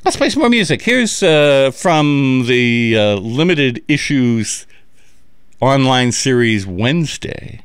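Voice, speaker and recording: A man working as a radio DJ, announcing on air in a rambling, halting way with many fillers and chuckles. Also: mid-range voice, lively intonation, clear, resonant tone, dry recording, studio quality. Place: radio studio. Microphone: studio microphone.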